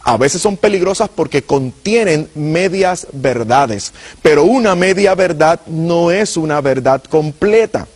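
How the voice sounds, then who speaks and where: Husky Puerto Rican preacher man. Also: a little high, lively intonation, unhurried. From Puerto Rico. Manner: earnest, addresses viewers directly. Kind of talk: apologetics teaching.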